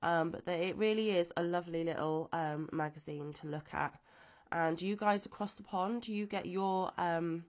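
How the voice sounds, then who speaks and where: A woman from the UK who is ill and wheezy with a chesty cough, chatting casually off camera about a crochet magazine with frequent pauses and ums. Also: fairly low pitch, lo-fi sound. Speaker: crochet vlogger. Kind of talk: casual show-and-tell chat. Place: at home, off camera.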